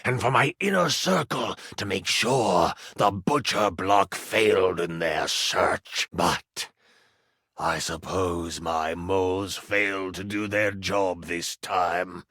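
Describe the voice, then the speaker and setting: An ancient immortal king, as a cold video game villain. The voice is low, gravelly and husky, delivering grandiose menace at a very slow, deliberate pace. The recording is close and dry. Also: a bit bright, no echo, exaggerated voice. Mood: cold threat.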